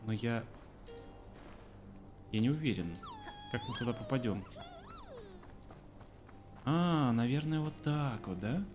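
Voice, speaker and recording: A man in his thirties talks over a game from a small home room, teasing his chat and reading out the on-screen story. His pitch swings widely as he jokes. Game music plays clearly behind him. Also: expressive, slow, pauses often. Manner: sarcastic, joking, reads game subtitles aloud. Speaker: streamer. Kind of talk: casual let's play commentary. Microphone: desk mic, webcam framing.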